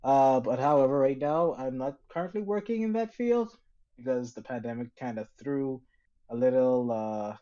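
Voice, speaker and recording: A man from the Bahamas, a guest filmmaker who speaks in a measured, slightly formal way with fillers. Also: medium pitch, sing-song, dark tone, highs cut off. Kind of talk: casual podcast chat.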